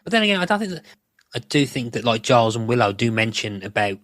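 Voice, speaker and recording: A man's voice: medium pitch, sing-song, fast, a bit bright, dry recording, clean studio sound. A middle-aged man, chatting casually as a podcast co-host in a rambling, self-correcting way. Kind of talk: casual chat about a TV show.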